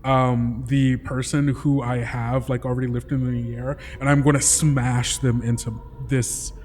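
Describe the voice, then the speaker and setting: Slow, halting male tabletop player. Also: mid-range voice, level tone, darkish tone, a little hiss or hum. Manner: halting, thinking aloud. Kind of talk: improvised first-person game narration. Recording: long reverb, music behind.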